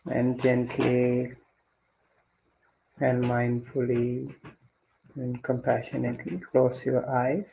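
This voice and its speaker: Slow, gravelly man guiding meditation. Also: medium pitch, level tone, slight background noise, low-quality recording. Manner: gentle, deliberate, with long pauses. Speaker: meditation teacher leading guided meditation. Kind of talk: guided meditation instruction.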